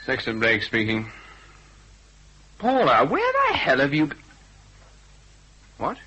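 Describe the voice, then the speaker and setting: An actor with a British accent playing a brisk, dry-witted, commanding private detective in a vintage radio drama. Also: male voice, mid-range voice, wildly swooping pitch, bright, forceful tone, slightly rough, exaggerated voice. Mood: impatient. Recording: dull lo-fi old radio, faint hiss.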